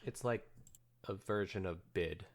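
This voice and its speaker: A man's voice: sits low, unhurried. A young man co-hosting a podcast with casual, joking, teasing banter. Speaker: podcast co-host.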